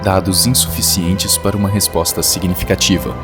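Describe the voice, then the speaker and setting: A man's voice: low-pitched, fairly even intonation, a little fast, music in the background, close to an everyday voice. A man playing an impassive computer delivering its answers in an audio drama.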